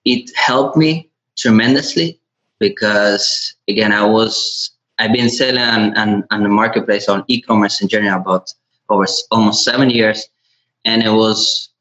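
Low-voiced man. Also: fairly even intonation, normal speed, a bit bright. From Latvia. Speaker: podcast guest, e-commerce entrepreneur. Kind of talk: conversational interview answers. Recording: dull, lo-fi audio.

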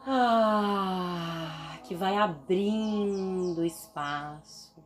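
Female meditation guide, Brazilian Portuguese accent, mid-range pitch, slow and soothing, long deliberate pauses. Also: background noise.

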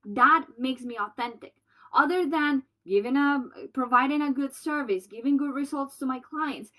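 A woman with a Colombian accent hosting a solo business podcast, bubbly and loud. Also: high voice, rough recording. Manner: bubbly, loud and fast-talking. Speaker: podcast host.

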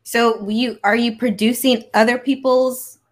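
A woman's voice: fairly high pitch, steady tone, measured pace. A woman with an American accent interviewing as a podcast host in a casual, informal chat.

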